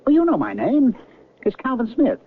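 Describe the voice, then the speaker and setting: Agitated, bewildered man, swooping pitch. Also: wildly swooping pitch, moderate pace, heavily acted. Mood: bewildered, pleading. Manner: insistent, flustered, stammering. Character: agitated, bewildered male physicist trapped on mysterious train. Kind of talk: radio drama acting. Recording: noisy, narrow-band old radio recording.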